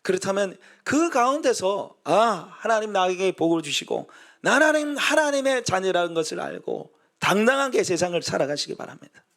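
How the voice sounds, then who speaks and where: A man's voice: sits high, big pitch swings, moderate pace, fairly bright tone. A man who is a pastor, preaching a sermon in a storytelling way, acting out quoted voices and building to emphatic exhortation.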